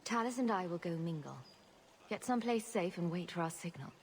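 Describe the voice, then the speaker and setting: A woman's voice: lowish voice, a little fast, slight background noise, lightly acted. An actress voicing a wisecracking woman champion in a video game, with a dry, flippant, sarcastic manner. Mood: wry, matter-of-fact.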